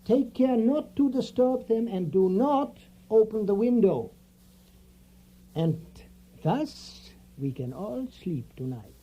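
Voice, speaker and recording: An actor playing a stern, commanding male professor in an audio drama, speaking in a solemn, formal, deliberate way. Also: high voice, animated, mellow, husky, heavily acted. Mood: solemn instruction. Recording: dull, muffled audio drama recording.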